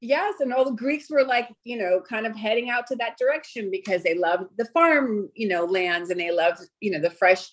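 A woman's voice: on the high side, normal speed, hardly any pauses. A woman, a restaurant owner chatting in an interview, enthusiastic and full of "you know" fillers. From Brooklyn, New York. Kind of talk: casual interview chat.

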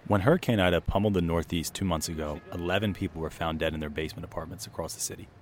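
A man reporter reading scripted news narration briskly. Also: deep voice.

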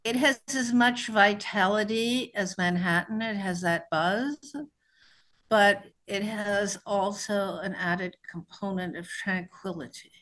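A woman's voice: medium pitch, steady tone, slow, a bit bright, low-quality recording. Middle-aged woman writer, easy conversational delivery, talks freely about her work and life. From born in New Mexico, raised in New York. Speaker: author being interviewed. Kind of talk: conversational interview.

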